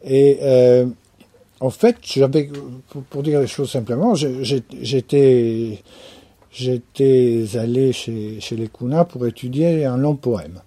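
A thoughtful man from France speaks as an anthropologist in a reflective interview. His voice is dark and mellow with a husky edge. He pauses often and repeats key phrases as he thinks aloud. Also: medium pitch.

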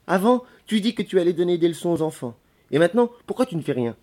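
A man's voice: medium pitch, expressive, moderate pace, a little mellow, slightly rough, voice pushed up. A man voicing a chatty, blunt, nagging country servant woman from a novel. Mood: scolding.

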